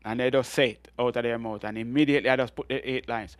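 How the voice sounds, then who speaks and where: A middle-aged Jamaican man with a deep voice, telling animated stories as a guest in an interview. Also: fast talker, no echo. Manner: animated storytelling with frequent laughs and restarts. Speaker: guest reggae artist. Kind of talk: storytelling interview.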